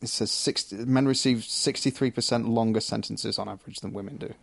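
A man in casual discussion as a co-host, halting and often stammering over his words. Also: medium pitch, steady tone, gravelly, no room sound. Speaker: co-host of a chat show.

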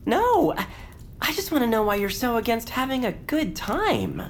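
A woman's voice: a little high, very animated, moderate pace, slight background noise. Earnest, dramatic young boy. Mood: earnest, puzzled. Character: earnest, upbeat boy determined to cheer up town. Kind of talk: audio drama acting.

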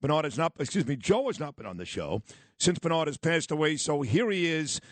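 A male radio host in the studio, a lively storyteller introducing his guest and reminiscing. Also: a little high, brisk, no echo. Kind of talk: morning radio talk.

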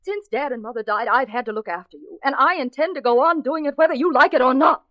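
Casting: strict, protective elder sister in 1940s radio drama; high voice, dry muffled sound. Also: female voice, expressive, fast, no room sound. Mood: stern. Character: stern, protective, bossy older sister. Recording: dull old 1940s radio broadcast.

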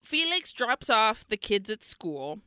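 Bright, crisp woman podcast host. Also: a little high, takes it slowly, dry recording, studio quality. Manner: animated storytelling, acts out characters, swears a lot. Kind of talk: casual movie recap chat.

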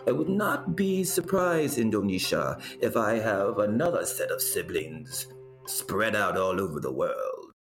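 A man performs a rambling male storyteller in a comic audio play. His voice is low and moves slowly, with lively swings and dramatic pauses. He spins absurd family history with a straight face, over faint music. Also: vocal fry, full character voice. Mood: musing. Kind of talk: comedic audio drama monologue.

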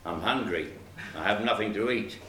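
A man's voice: lowish voice, moderate pace, a bit bright, pitched below the performer's own voice, natural voice. A man voicing an easygoing, simple male dog, slightly plaintive, in a storybook tale. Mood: plaintive, content. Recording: roomy, distant mic, faint noise.

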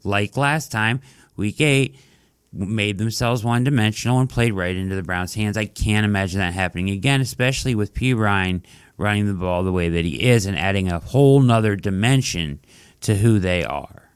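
Sports podcast host, male, lowish voice, a bit hoarse from being under the weather, loose and chatty, dry close-mic sound. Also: normal speed, no room sound. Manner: conversational, fillers like "like" and "right?". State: losing his voice, dry throat. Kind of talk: casual sports analysis podcast.

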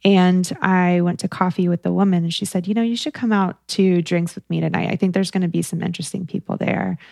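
Gravelly-voiced young woman founder. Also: on the low side, level tone, brisk, a little mellow, no room sound, studio quality. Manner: candid storytelling with frequent fillers. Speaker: guest, company founder. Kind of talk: casual interview conversation.

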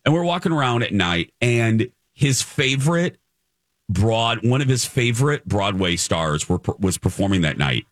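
Animated man chatting, very lively pitch. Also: no echo, clean studio sound. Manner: animated, effusive, halting and repeating himself. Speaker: radio morning show host. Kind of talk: casual chatty radio talk with personal anecdotes.

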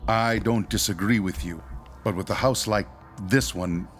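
A stern doctor in charge of a ghost-hunting team, with a low voice. He speaks formally and precisely, like a solemn lecturer in a radio horror play. Faint music runs behind him. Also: measured pace, fairly bright tone. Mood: grave, ominous. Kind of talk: radio horror drama acting.

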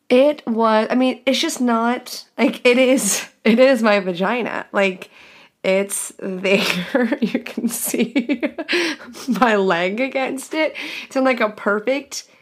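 A young adult woman hosting a podcast, telling a crude story candidly and casually, laughing often. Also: mid-range voice. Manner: giggly, frank and crude, lots of laughing asides. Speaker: podcast host.

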